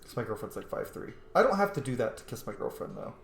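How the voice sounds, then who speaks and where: A man's voice: mid-range voice, very animated, quick pace, a little hiss or hum. A young man giving casual, enthusiastic commentary on a game he is playing, chatty and exuberant. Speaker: gaming streamer. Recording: echoey room, faint game music. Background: faint game music.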